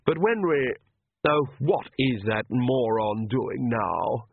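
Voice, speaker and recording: A man voicing a flustered, sharp-tongued young woman who is hesitant yet blunt in an audiobook. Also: mid-range voice, animated, slow pace, gravelly, slightly rough, no room sound, narrow, tinny audio, exaggerated voice. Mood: dry, blunt.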